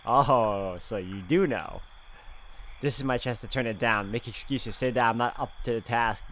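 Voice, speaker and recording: A man's voice: mid-range voice, fairly bright tone, slight background noise, low-quality recording. Man dramatically reading a game story aloud. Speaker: game walkthrough player. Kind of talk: reading visual novel narration aloud with casual asides.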